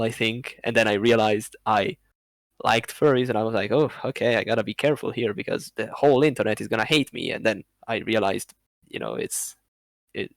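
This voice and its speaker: Animated, rambling man on a podcast. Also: fairly low pitch, gravelly, no room sound. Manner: rambling, animated, laughs between phrases. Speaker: podcast guest. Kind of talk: casual podcast chat.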